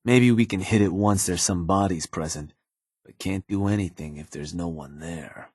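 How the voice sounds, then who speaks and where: Laid back gang henchman in a game, deep husky voice, clipped and casual, shrewd advisor type. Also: normal speed, a little mellow, no room sound, studio quality. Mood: businesslike. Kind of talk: video game character dialogue.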